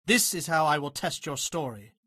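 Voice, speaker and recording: A man performs a commanding governor of ancient Egypt for an audio Bible drama. He is forceful and accusing, with a sharp, somewhat high male voice. The sound is dry and close. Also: expressive, moderate pace, breathy edge, no echo, exaggerated voice. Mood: threatening. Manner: forceful, demanding.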